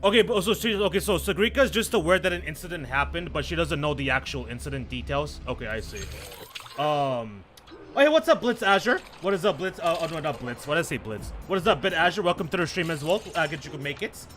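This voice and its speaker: A man's voice: on the high side, animated, fast talker, a bit bright, slight background noise. A man hosting a live game stream with casual, slangy chat, often repeating himself as he greets viewers and comments.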